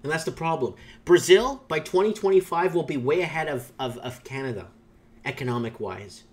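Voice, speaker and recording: Opinionated man, Portuguese native, higher voice. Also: lively intonation, normal speed. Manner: rambling, opinionated, many fillers and restarts. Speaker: YouTube channel host. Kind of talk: casual commentary reading viewer comments.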